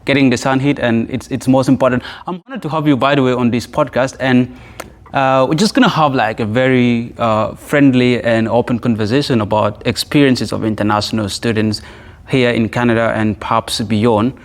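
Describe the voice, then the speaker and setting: A man's voice: medium pitch, steady tone, normal speed. A man from South Sudan hosting a podcast interview, speaking in a friendly, conversational way and often restarting words while he thinks. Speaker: podcast host.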